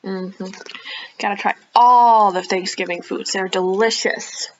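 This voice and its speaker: A woman children's librarian reading a picture book aloud expressively, with animated, encouraging chatty asides to young listeners. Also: mid-range voice, measured pace, highs cut off. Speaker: children's librarian hosting storytime.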